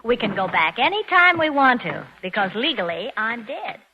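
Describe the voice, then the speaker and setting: An actress playing a cool, double-crossing accomplice in an old radio crime drama, offhand and bossy. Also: female voice, fairly high pitch, very animated, normal speed. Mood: smug. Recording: dull, noisy old radio recording.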